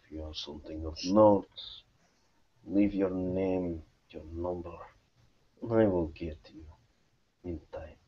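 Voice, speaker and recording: Guy doing a gruff curt private eye for a tabletop game, real low voice, kinda muffled recording. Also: a little slow, pauses often. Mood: curt, indifferent.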